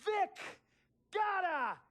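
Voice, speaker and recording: A man's voice: very high-pitched, sing-song, extremely slow, a bit bright, exaggerated voice. A man acting as a bossy, flamboyant billionaire team owner in a video game cutscene, with theatrical, emphatic delivery and repeated phrases. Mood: heated, building.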